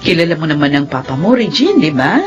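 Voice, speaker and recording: A woman's voice: low voice, very animated, quick pace, over music. An actress playing a patient middle-aged mother in a radio drama, reasoning and placating, on a dull recording. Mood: concerned, reasoning.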